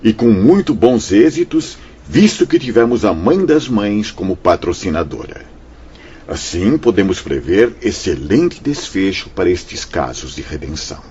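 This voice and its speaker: A Brazilian actor playing a kindly, paternal spirit director in a radio drama, speaking formally and solemnly with gentle reassurance. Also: male voice, lowish voice, very animated, unhurried, slightly rough, exaggerated voice. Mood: gracious, earnest. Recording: dull radio drama sound with music.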